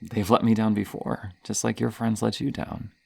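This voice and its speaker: Guy doing a lonely flustered slasher villain, low creaky voice, stammers a lot, asmr horror roleplay. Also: flat delivery, mellow, dry recording, full character voice. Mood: bitter, wary. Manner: stammering, halting, breathy sighs and nervous laughs. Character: flustered, scarred, masked male slasher killer, lonely and insecure. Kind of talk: ASMR horror roleplay, intimate monologue to listener.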